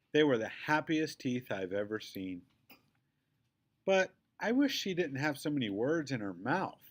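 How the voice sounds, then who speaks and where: Man reading a children's story aloud. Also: medium pitch. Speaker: reader of a children's book.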